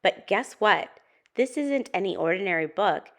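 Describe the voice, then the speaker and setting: A woman's voice: medium pitch, lively intonation, normal speed. A woman hosting a children's storytime, reading and talking expressively to young listeners. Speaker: storytime host and narrator. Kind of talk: children's picture book read-aloud.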